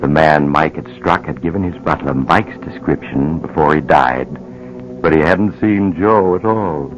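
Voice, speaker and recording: Spooky old radio mystery narrator, extremely low voice, grave and deliberate, faint music. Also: male voice, highs cut off. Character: ominous storyteller narrator of a mystery thriller. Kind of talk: dramatic old-time radio scripted narration.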